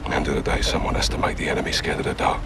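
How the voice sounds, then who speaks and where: An actor playing a gruff, hard-bitten middle-aged special forces captain in a video game, clipped and resolute, with a gravelly, hushed delivery. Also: male voice, rapid-fire, natural voice. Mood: grim resolve. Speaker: army captain. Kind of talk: cinematic video game acting. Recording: dramatic music over dialogue.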